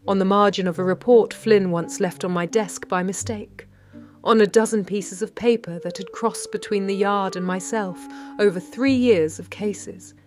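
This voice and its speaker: An actress with a British accent playing a shrewd 1930s London lady detective, narrating in a measured, wry and precise way. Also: fairly low pitch, close to an everyday voice. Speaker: narrator and lead character. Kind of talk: first-person detective audio drama narration.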